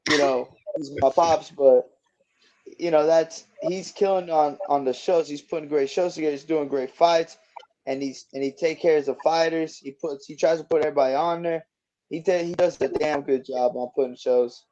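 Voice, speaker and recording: A young man in his twenties talks casually as a guest, easygoing and rambling, often restarting his sentences. His voice sits slightly high and has a mellow, dark tone. The recording is a bit muffled and lo-fi. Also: steady tone, moderate pace, slight background noise. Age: 20s. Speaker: guest boxer. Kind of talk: casual interview chat.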